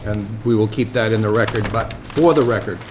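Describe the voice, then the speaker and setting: A man chairing a conservation commission meeting, speaking in a measured, polite, procedural way. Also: fairly low pitch. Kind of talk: public meeting discussion. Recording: noisy municipal TV broadcast.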